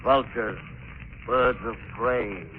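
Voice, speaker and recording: A man's voice: medium pitch, slow pace, a little hiss or hum, natural voice. An actor playing a cruel, gloating witch-doctor villain in a 1940s radio drama, menacing and theatrical. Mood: gloating menace. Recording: muffled old radio recording, music behind.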